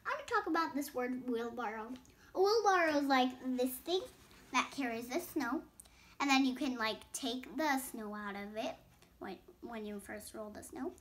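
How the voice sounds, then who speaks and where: High-pitched young girl reading a storybook. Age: child. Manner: stumbles over words and rereads phrases. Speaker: host reading a bedtime story. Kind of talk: read-aloud storybook narration with casual asides.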